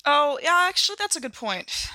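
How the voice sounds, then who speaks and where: A woman's voice: sits high, sing-song, normal speed, pushed and bright, no echo. A woman playing a tabletop game, chatting casually and thinking aloud in an informal way.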